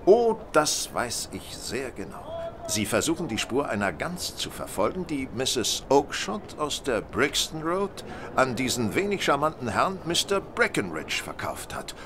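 An actor playing a shrewd, self-assured gentleman detective in an audio drama, polite and measured in manner. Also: medium pitch, very animated, noisy background. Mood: courteous, confident. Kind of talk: German audio drama acting.

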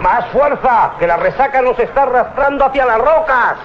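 An actor playing a sceptical, loyal sailor and first mate in a radio drama, dutiful but grumbling. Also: male voice, extremely high pitch, expressive, normal speed. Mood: urgent shouting. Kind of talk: 1980s Spanish radio drama acting. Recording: muffled, noisy 1980s radio recording.

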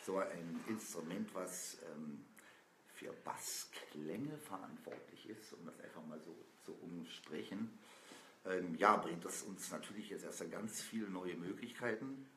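A man's voice: sits low, a little slow, fairly bright tone. A man chatting casually on a live stream from a sofa, talking in loose, rambling sentences with many fillers. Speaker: band member. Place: small indoor room, seated on a sofa. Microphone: camera a few feet away. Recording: roomy with faint noise.

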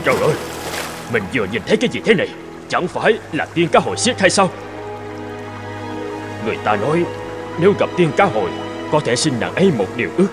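An old fisherman father from a cartoon fairy tale. He is burly, anxious and full of regret, and his pitch moves around a lot. Music plays along with the voice. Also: big pitch swings, fast, long pauses. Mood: awed, pleading. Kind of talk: animated fairy-tale dialogue.

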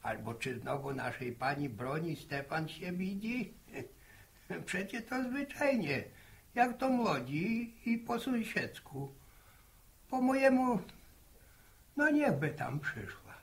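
Creaky old village grandfather. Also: high-pitched, very animated, slow pace, long pauses, exaggerated voice. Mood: musing. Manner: rambling, stammering, repeats words. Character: old village grandfather, folksy, teasing, opinionated. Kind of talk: Polish radio serial acting, 1960.

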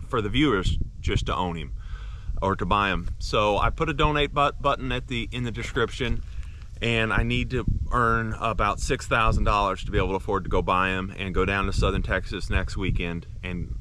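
A man's voice: fairly low pitch, pushed and bright, slight background noise. A man in his thirties, a horse trainer, talking earnestly to camera outdoors with many fillers and restarts. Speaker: horse trainer and channel host. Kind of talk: talking to camera, casual update. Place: outdoors in a field by bare trees.

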